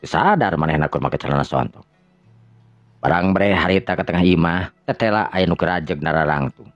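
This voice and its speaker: A man's voice: low-pitched, brisk, no echo. A man with a Sundanese accent narrating a dramatized radio story in a conversational way, correcting himself as he goes. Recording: muffled lo-fi audio with music behind.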